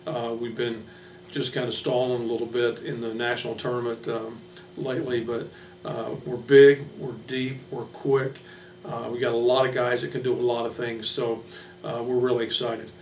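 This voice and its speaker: Man, American, coach's team introduction, even pitch, halting delivery, distant mic, noisy background. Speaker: head basketball coach. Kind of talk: informal team introduction speech. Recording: echoey room from a distance, hum, noise.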